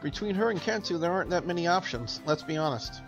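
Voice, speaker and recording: Man playing a blunt young guy, higher-voiced. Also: animated, fast, over music. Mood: dry, frank. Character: blunt, awkward young male visual novel protagonist.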